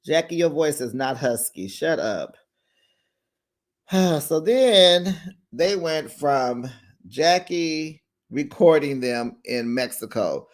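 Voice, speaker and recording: A man's voice: a little high, expressive, slow. A man hosting a casual recap show, chatty and gossipy, acting out quotes in a raised voice and laughing along.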